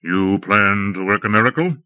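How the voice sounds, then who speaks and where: A man voicing a grim, gloomy man who speaks low with heavy sarcasm. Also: measured pace, no echo, muffled recording. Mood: sarcastic. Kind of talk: audiobook character voice.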